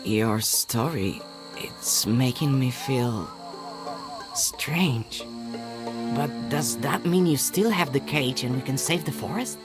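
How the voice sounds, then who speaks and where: A man's voice: mid-range voice, expressive, takes it slowly, over music. An actor playing a polite, earnest young boy in a fantasy game who seeks to save his sister, speaking a little hesitantly. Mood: uneasy, hopeful. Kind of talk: game dialogue.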